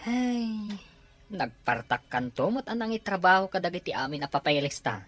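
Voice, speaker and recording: A woman's voice: fairly low pitch, lively intonation, moderate pace, faint music behind, lightly acted. A woman voicing a reluctant young man who coaxes a buddy in an audio drama. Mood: reluctant, coaxing. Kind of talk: Ilocano audio drama acting.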